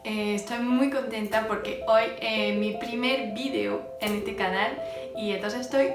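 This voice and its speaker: A woman's voice: on the high side, fairly even intonation, measured pace, recorded from a distance. A woman from France with a French accent, chatting casually to camera as a baking vlogger, with frequent hesitant fillers. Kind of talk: casual vlog chat to camera. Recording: echoey room, voice off-mic, music behind.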